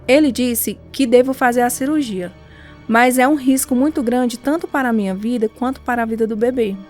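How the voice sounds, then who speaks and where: A woman's voice: on the high side, brisk, darkish tone, soft background music, natural voice. An actress playing a devout, caring wife and mother in a radio drama. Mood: grave, devout.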